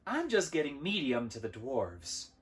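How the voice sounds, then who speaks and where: A man voicing a small, sharp woman companion in a storybook, with a brisk, mocking manner. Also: medium pitch, huge pitch swings. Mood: firm, dismissive.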